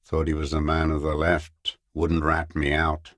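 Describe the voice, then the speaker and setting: Game role: aged revolutionary holdout, deep-voiced, very slow delivery, scornful, weary and unrepentant. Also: male voice, monotone, no room sound, studio quality. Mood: bitter recounting. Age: older. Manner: contemptuous, ideological, slow and weary. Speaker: video game character actor. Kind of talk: dramatic game voice acting, bitter monologue.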